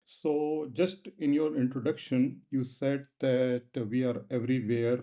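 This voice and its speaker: A man's voice: mid-range voice, little pitch movement, unhurried, warm tone. A man hosting an interview, putting his questions in careful, hesitant phrases on a dull, muffled recording. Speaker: interviewer, host.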